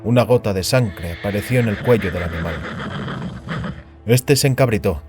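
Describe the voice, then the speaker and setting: Low-voiced man narrating over music. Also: moderate pace. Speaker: narrator of an audio fiction story. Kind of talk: dramatic scripted audiobook narration of science fiction. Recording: music under the voice. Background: background music.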